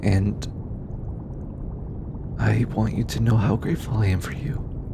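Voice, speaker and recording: Intimate audio drama: affectionate alien scientist, male actor, earnest and hesitant, unhurried, over clear background rumble. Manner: tender, hesitant, softly formal speech. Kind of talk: intimate roleplay audio acting.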